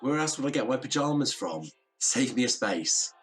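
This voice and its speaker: An actor playing an amiable, low-key, obliging male neighbour in an audio drama. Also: expressive, normal speed, faint music behind, natural voice.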